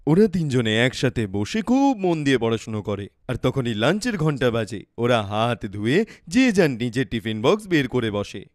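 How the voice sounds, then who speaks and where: A man's voice: mid-range voice, very animated, a little fast, no echo, clean studio sound. A man narrating a scripted children's cartoon story.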